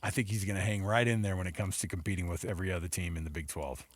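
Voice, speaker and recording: A man's voice: low-pitched, fairly even intonation, very fast. A middle-aged man hosting casual sports talk radio, telling stories in a jokey way and acting out mock quotes.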